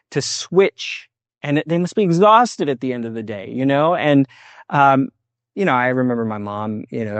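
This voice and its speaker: A man's voice: mid-range voice, no room sound. A middle-aged American man hosting a podcast interview, chatty and friendly, laughing often. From United States. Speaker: podcast host. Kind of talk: casual interview.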